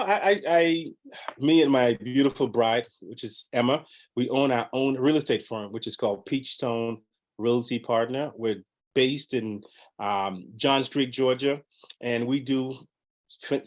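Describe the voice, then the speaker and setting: A man from the Bahamas, a realtor and podcast guest, talking casually in an upbeat, rambling way with many fillers. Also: medium pitch. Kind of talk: casual interview talk.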